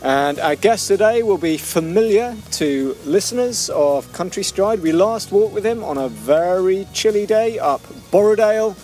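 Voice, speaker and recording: A man's voice: high-pitched, animated, normal speed, hardly any pauses, darkish tone, faint music behind. A man hosting a walking podcast outdoors in a mountain valley, setting the scene in descriptive terms. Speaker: podcast host.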